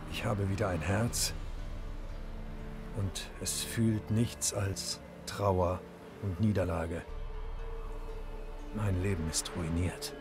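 A gravelly grieving nobleman. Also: deep voice, steady tone, slow, pauses often. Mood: somber. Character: proud red-haired nobleman, weary, grieving. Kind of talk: German game dub. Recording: studio dub over loud music.

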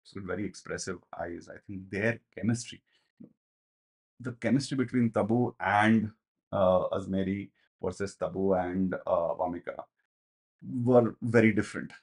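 A man, reviewing a film in casual, animated talk, with many fillers and restarts, in a small home studio. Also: deep voice, moderate pace, breathy edge. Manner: animated, gestures a lot, thinks aloud with many fillers and restarts. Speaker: movie reviewer.

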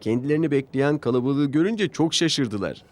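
A man's voice: medium pitch, expressive, a little fast, darkish tone, slight background noise. A man narrating a scripted children's story as its storyteller. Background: music under the voice.

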